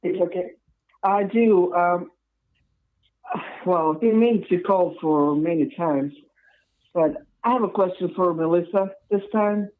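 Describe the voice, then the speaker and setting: A woman's voice: lowish voice, a little slow, pauses often. Woman calling in over a tinny phone line, polite, kinda hesitant. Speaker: caller. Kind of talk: phone call-in.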